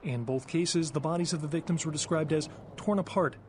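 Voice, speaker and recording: A man playing a polished television news anchor, reading headlines in a formal, measured way. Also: fairly high pitch, slight background noise, close to an everyday voice. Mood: formal, serious. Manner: formal, measured newsreader delivery.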